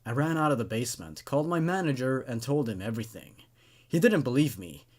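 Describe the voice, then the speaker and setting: A man's voice: medium pitch, big pitch swings, fairly quick. A man hosting a horror story review show, commenting and reading aloud in a hesitant way, often repeating words as he thinks. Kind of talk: rambling commentary and reading of amateur horror stories.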